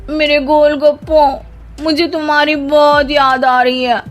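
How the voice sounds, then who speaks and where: A woman plays a petulant young cartoon wife with a high, girlish voice. She whines and gushes theatrically, making a drama of every phrase, over background music. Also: little pitch movement, a little slow. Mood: pining. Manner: theatrical, whiny, gushing. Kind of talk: animated Hindi moral story voice acting.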